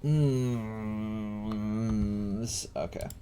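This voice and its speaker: A man giving a casual live coding tutorial as a teacher, thinking aloud in a halting way while he works. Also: fairly low pitch, warm tone, faint music behind.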